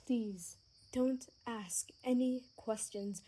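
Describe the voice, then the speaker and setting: A woman playing a gentle, sheltered young vampire daughter in an ASMR audio roleplay, soft and given to whispering. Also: a little high, drawn-out words. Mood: anxious, urgent.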